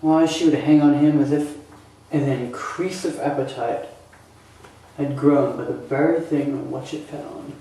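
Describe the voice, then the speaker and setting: A man acting a grieving, bitter young prince in a theatrical Shakespearean monologue, with halting, emphatic delivery and dramatic pauses. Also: a little high, steady tone, warm tone. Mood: pained disbelief. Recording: echoey room, distant microphone.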